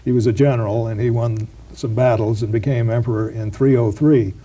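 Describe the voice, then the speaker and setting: A man with a mellow, soft-edged voice lays out history in an unhurried lecture style. He stops and starts often, with plenty of fillers and repeated words. It sounds like a 1990s broadcast interview. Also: mid-range voice, fairly even intonation. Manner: halting, with many fillers and restarts. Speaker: professor being interviewed. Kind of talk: explanatory interview talk.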